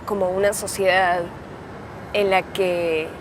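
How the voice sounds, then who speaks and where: A woman narrating a documentary reflection in a thoughtful, measured way. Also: medium pitch, little pitch movement. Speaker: reporter narrator. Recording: loud street noise behind.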